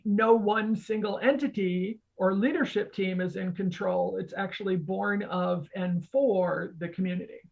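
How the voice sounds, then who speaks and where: A woman's voice: mid-range voice, vocal fry. A woman explaining technology conversationally as an expert guest, in a didactic way that often checks in with the listener. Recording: dull, lo-fi audio.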